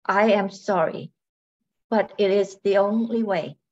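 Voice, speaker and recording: Through a slightly muffled recording comes a robot wife played by an older woman in an amateur radio play. She talks very slowly, with mechanical courtesy. Her manner is measured and coolly calculating, even as she apologises. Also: medium pitch, natural voice. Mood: polite, matter-of-fact. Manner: robotic, measured, matter-of-fact. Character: tall female robot wife, polite, coldly calculating. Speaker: amateur radio drama actor. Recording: dull, muffled lo-fi sound.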